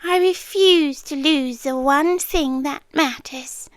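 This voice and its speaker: A woman's voice: high voice, no room sound. A British woman playing a centuries-old possessive monster lover in an ASMR roleplay, coaxing and sweetly menacing, often slipping into a whisper. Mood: adoring, intense. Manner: slow, hushed, coaxing, often drops into whispers.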